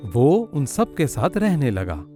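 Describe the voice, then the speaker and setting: Guy narrating a kids cartoon story, very expressive pitch, light music underneath. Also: slow pace.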